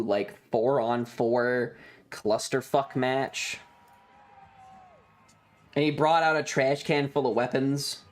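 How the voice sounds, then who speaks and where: A man streamer talking casually and rambling through his material, halting often with stretched pauses. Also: medium pitch. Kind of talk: casual livestream chat over trip photos and videos.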